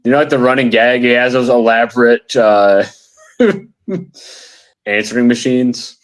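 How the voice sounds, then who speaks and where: A man's voice: mid-range voice, flat delivery, a little slow, rough recording. A man bantering casually as a podcast panelist, with a playful, irreverent manner.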